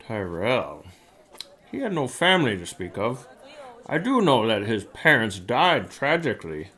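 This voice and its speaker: An actor plays a genteel antiquarian dealer in an audio drama. The speech is courtly and theatrical, swooping widely in pitch. Every phrase is a sly, polite sales pitch. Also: big pitch swings, a little slow, sharp tone. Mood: intrigued, musing. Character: genteel, sly antiquarian shop owner selling a rare book.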